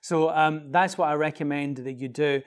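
A man in his forties speaks as an acting coach, with a slightly high voice for a man. He explains his advice directly to camera and leans on the key words. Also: fairly even intonation, normal speed. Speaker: acting coach answering questions on his show. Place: small bright indoor office.

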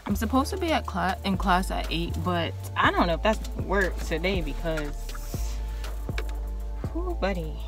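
A woman's voice: fairly low pitch, sing-song, normal speed, over loud music. A young woman vlogging casually from inside her parked van, chatting and rambling to viewers. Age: young adult. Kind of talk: casual vlog. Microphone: handheld phone camera. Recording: noisy van interior.

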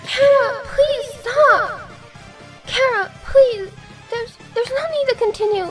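A woman voices a frightened human child in a dramatic comic dub. The voice is very high, halting and tearful, full of pleading remorse, over music. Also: fairly bright tone, heavily acted. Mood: desperate.

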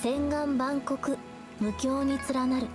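High soft shy anime game girl painter, young adult woman, hesitant, music behind. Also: little pitch movement, slow pace. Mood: thoughtful. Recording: game dialogue over background music.

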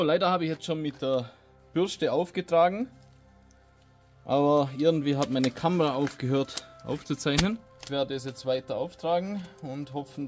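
Relaxed man in his 40s. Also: medium pitch. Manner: relaxed, hesitant with fillers, explaining as he works. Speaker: DIY video host. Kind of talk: casual workshop tutorial. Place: home garage workshop, indoors. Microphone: camera mic, close then farther as he works on the seat.

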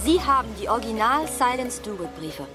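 An indignant, headstrong woman scholar in a dubbed adventure film. Her voice is high and swoops with emotion, over film music. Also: very animated, fairly quick. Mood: skeptical, indignant. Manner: sharp, indignant. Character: indignant, headstrong female academic, easily outraged. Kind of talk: German film dub acting. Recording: film soundtrack with music behind.

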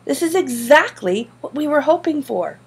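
A woman narrator acts out a scheming schoolboy ringleader in a storybook. He sounds husky and earnest, pushing his case with insistent, pleading persuasion. He is stubborn in every phrase. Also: medium pitch, expressive, moderate pace. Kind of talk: audiobook character dialogue.

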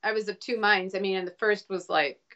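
A woman's voice: mid-range voice, steady tone, a bit bright, highs cut off. American author in her forties on a home webcam call, stop-start and reflective. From United States. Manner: thoughtful, halting with many restarts. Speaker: author guest. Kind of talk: casual live video chat. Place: home room with a shelf behind. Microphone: webcam on a video call.

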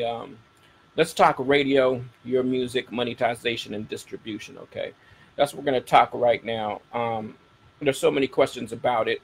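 Gravelly middle-aged American man hosting. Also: mid-range voice, a little hiss or hum, low-quality recording. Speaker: radio show host. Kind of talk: casual talk to a broadcast audience.

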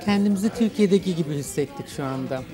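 A middle-aged woman answers an interviewer emphatically. Her voice is very low for a woman, and music plays clearly in the background. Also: animated, quick pace. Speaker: restaurant customer being interviewed. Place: restaurant dining room. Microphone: handheld reporter microphone.

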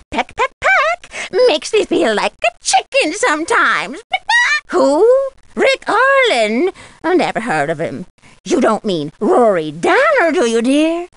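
A feisty old woman from a detective game. Her voice is very high and swoops up and down theatrically. She comes across as quirky and a little muddled. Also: fairly bright tone, heavily acted. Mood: indignant. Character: eccentric, feisty, muddled old lady prop keeper. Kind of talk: video game character dialogue.

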